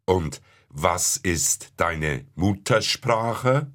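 Deep-voiced man asking slow questions. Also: expressive, fairly bright tone. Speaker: interviewer in a scripted dialogue. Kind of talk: slow scripted language-course dialogue.

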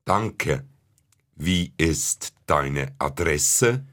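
A man asking plain, friendly questions in a slow scripted language-course dialogue. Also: extremely low pitch, animated, fairly bright tone.

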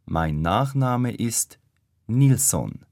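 A man giving measured, deliberate answers in a scripted language-course dialogue. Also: mid-range voice, animated, darkish tone, no room sound, clean studio sound.

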